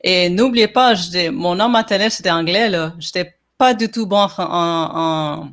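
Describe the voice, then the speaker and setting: A woman's voice: fairly low pitch, moderate pace, a bit bright. A woman from Montreal whose first language is English, a podcast guest talking in a casual interview, hesitant with many fillers and restarts. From Montreal, Quebec.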